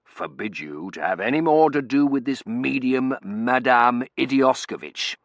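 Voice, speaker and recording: A man voicing a gruff older Victorian colonel in an audiobook, barking in clipped fragments that drop their subjects. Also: medium pitch, animated, measured pace, no room sound, muffled recording, pitched above the performer's own voice. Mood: blustering, commanding. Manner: clipped shorthand speech, dropping sentence subjects. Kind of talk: audiobook character voice.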